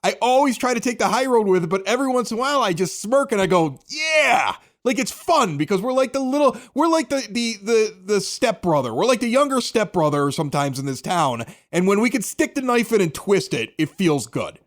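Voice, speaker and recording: A man's voice: high voice, sing-song, brisk, few pauses. A middle-aged man hosting a sports podcast, talking casually to listeners and guests. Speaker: podcast host. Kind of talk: casual sports talk and scripted ad read.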